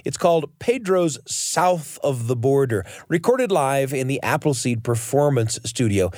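A man's voice: mid-range voice, expressive, unhurried, darkish tone, no room sound, studio quality. A man hosting a radio show with scripted storytelling narration and dramatic emphasis.

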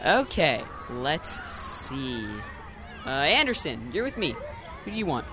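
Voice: medium pitch, sing-song, slow. A young male actor playing an eager schoolboy team captain in a radio drama, talking in a casual, teasing playground way. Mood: eager, bossy. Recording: noisy outdoor ambience.

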